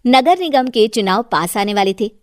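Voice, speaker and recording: A woman's voice: on the high side, animated, normal speed, no room sound, studio quality. A woman narrating a scripted moral story as storyteller.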